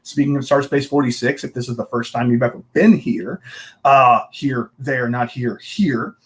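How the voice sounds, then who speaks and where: A man's voice: medium pitch, steady tone, moderate pace. Chatty man hosting a casual interview. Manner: chatty, rambling, self-correcting. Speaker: interview host, co-working board secretary.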